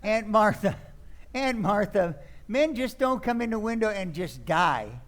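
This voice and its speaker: Man playing high-voiced excitable nephew. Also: a little slow. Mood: flustered, incredulous. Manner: stammering when flustered. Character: sardonic drama critic nephew, excitable and incredulous. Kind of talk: old time radio acting.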